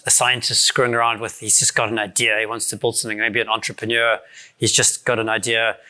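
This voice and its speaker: A man in his forties from South Africa, with a South African accent softened by years abroad, giving a casual conference talk with many fillers and restarts. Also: mid-range voice, clear, resonant tone. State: voice strained from shouting the night before. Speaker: conference speaker. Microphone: handheld or stand mic.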